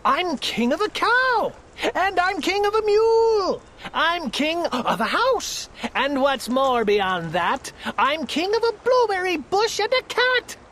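A woman's voice: very animated, slow, a little hiss or hum. A woman voicing a boastful, imperious male turtle king in a rhyming storybook. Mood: gleeful, boastful. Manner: imperious, booming exclamations.

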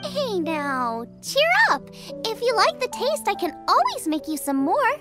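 A bubbly young girl in a video game. The voice is extremely high and swings eagerly up and down. Prominent music plays behind it. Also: measured pace, full character voice. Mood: excited, friendly. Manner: chirpy, animated.

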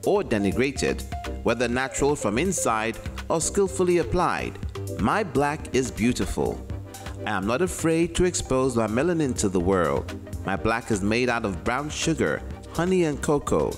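A man reciting a poem in a dramatic spoken-word style. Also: mid-range voice. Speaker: poetry reader.

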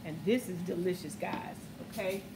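A woman's voice: on the low side, normal speed. Chatty woman cooking host in roomy kitchen. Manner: chatty, keeps addressing viewers with "guys" and "okay?". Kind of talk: casual cooking demonstration. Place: large home kitchen, indoors. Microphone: camera mic across the kitchen. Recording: roomy, distant, noisy kitchen sound.

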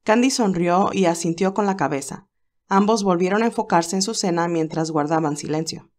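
A woman reading a romance novel aloud in a calm, even storytelling voice. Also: lowish voice, normal speed. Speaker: narrator reading a fan novel. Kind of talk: audiobook narration.

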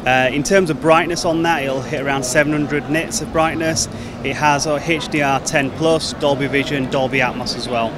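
A man's voice: on the high side, steady tone, moderate pace. A man in his thirties, a marketing manager, answering interview questions about products at a busy trade show with fluent, promotional talk. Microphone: handheld mic held by interviewer. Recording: noisy trade show floor. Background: crowd chatter and hall noise.

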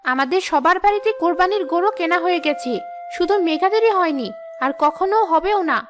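A woman plays a spiteful little cartoon snob with a very high voice. She talks down to others in a haughty, mocking tone. Music plays behind. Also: lively intonation, fairly quick. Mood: scornful, taunting. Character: snobbish, taunting young girl, spiteful playmate. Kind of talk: cartoon voice acting, moral story.

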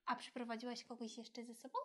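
A woman's voice: fairly high pitch, level tone, fast, lightly acted. A woman voicing a friendly, childlike puppet who chats simply to children on a kids' show. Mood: cheerful, curious. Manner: simple, chatty, addressing children directly.

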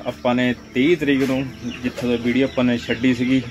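A man's voice: mid-range voice, little pitch movement, brisk, dull audio. A young man with a Punjabi accent from India, giving casual, informal vlog commentary to viewers on a phone at a poultry farm. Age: young adult. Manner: informal, addresses viewers as friends. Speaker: farm vlogger. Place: poultry farm sheds and yard. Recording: noisy farm with clucking birds. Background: chickens clucking, birds.

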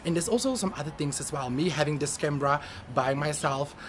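A man's voice: fairly high pitch, brisk, a bit bright. A man vlogging casually, chatting directly to his viewers. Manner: chatty, addresses viewers as guys. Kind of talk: casual vlog chat to viewers. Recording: noisy vlog audio with background ambience.

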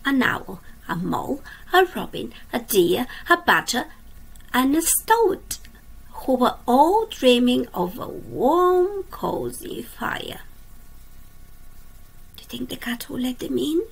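Woman teacher, children's read-aloud at a webcam; slow and gentle, husky with some fry, quizzes the kids as she goes. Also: high voice, big pitch swings, low-quality recording. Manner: slow, gentle, drops to a soft whisper at line ends; asks the young listeners questions. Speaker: teacher reading a storybook. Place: indoors at a computer.

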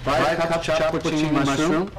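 A man's voice: a little high, flat delivery, normal speed, noisy background, lightly acted. An actor playing a wry, cautious city man in a sitcom. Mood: wary. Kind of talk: sitcom acting. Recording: roomy, distant, sitcom audience.

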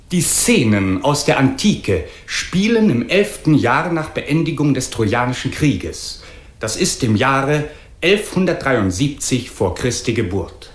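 A man acting as an earnest radio announcer in a 1950s radio play, speaking formally and precisely. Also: on the high side. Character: earnest male radio announcer presenting mock-scientific report. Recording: roomy 1950s radio recording.

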